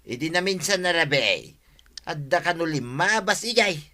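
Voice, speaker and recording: Stubborn, bright-voiced village buddy. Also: male voice, a little high, lively intonation, a little slow. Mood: insistent. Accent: Filipino. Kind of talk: radio comedy drama acting.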